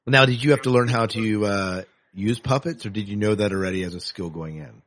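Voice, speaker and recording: A male interviewer with a fairly low, husky voice. He chats casually as a podcast host, agreeable and appreciative toward his guest. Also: a little fast, a bit bright.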